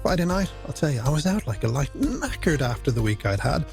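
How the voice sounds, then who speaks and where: A man's voice: on the high side, very animated, fairly quick, gravelly, darkish tone. A man presenting a late-night music radio show in a chatty, scripted style, with playful, wordy patter aimed at the listener.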